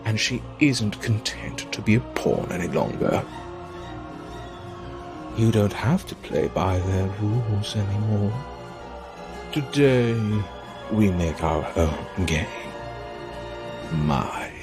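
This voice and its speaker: A man playing a vengeful, taunting villain in an audio drama, with a mocking, drawn-out theatrical delivery. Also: fairly low pitch. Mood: coaxing. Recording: music under the voice.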